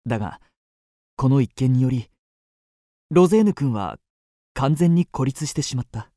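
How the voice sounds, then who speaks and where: An actor playing a suave, soft-spoken young man narrating a radio drama in the first person with measured delivery. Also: mid-range voice, lively intonation, no room sound, natural voice. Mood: composed. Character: suave young male narrator, quietly courting a lady.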